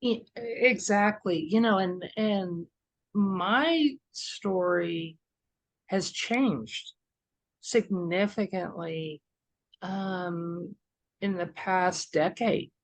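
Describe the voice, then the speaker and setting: An older woman hosting a conversation, unhurried and searching for words as she talks. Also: on the low side, dry recording. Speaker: host.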